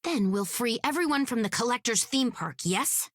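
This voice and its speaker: A perceptive alien heroine in a video game. Her voice is husky and expressive, calm and formal yet playful. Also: on the high side, moderate pace. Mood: teasing. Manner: formal, calm, gently teasing.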